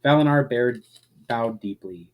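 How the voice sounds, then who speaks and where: A man's voice: medium pitch, a little slow. A man reading a fantasy story aloud as a dramatic, scripted narration. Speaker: storyteller, reader.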